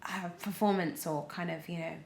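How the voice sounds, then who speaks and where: A young British woman chatting casually as a podcast guest, telling stories in an animated way peppered with "like". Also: on the low side, normal speed. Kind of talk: casual interview chat. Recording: roomy, echoey sound.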